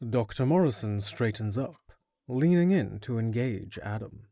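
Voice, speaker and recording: A man delivers scripted narration for an audio drama. His voice sits at an average pitch, and he tells the story in a measured, descriptive manner. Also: lively intonation, gravelly, dark tone, no echo, clean studio sound.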